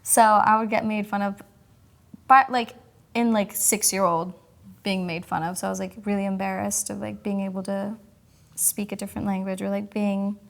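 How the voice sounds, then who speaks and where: A woman's voice: mid-range voice, little pitch movement, normal speed, creaky. An American woman from Kentucky, whose first language was Spanish, hosting a podcast in a casual interview style. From Kentucky, United States. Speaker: podcast host.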